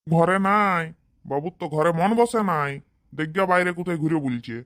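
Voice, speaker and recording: Woman voicing a low-pitched old village husband. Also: moderate pace, a bit bright, natural voice. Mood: friendly, instructing. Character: doting old village man, newly remarried father. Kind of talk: comedy cartoon dialogue.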